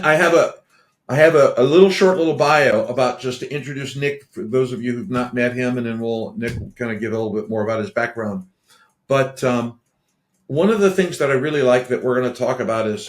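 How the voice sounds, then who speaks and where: A man hosting an interview podcast, talking casually and rambling, often repeating himself and restarting sentences. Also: medium pitch. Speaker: podcast host. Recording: roomy echo.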